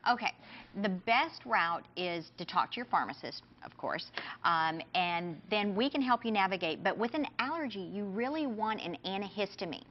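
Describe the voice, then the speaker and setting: Female pharmacist guest, brisk confident explainer, mid-pitched, studio audio a little dull. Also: expressive. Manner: brisk, confident, many "you know"s. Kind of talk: TV interview, explaining.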